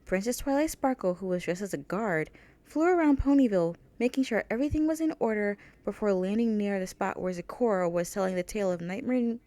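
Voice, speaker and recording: A woman's voice: medium pitch, expressive, fairly quick, warm tone, no echo. Woman narrating a story, dry sound. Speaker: fan fiction author and narrator.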